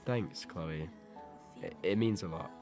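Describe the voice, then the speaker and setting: Low-voiced wistful teenage boy played by a man. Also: slow, vocal fry, over music, exaggerated voice. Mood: sad, reflective. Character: Peter, wistful teenage boy attached to his best friend. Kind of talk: audio drama dialogue.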